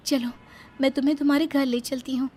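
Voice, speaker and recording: A woman acts out an earnest young daughter-in-law in a storybook drama. Her voice is high, and she speaks politely, with the sincerity of someone who has been wronged. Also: steady tone, a little fast, a little mellow, natural voice. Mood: gentle, polite. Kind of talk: dramatised moral story acting.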